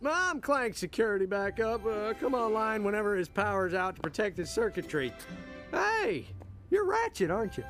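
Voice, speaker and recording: A folksy male security program in an animated game. He rambles in a high voice that jumps around, with music in the background. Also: moderate pace, full character voice. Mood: suspicious. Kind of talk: animated video game comic acting.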